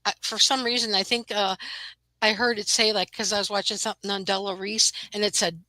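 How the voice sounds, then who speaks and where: A woman's voice: mid-range voice, fairly even intonation, brisk, pushed and bright, no room sound. A woman with a Midwestern American accent chatting casually, telling stories with many restarts and "you know" fillers. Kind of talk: casual group chat.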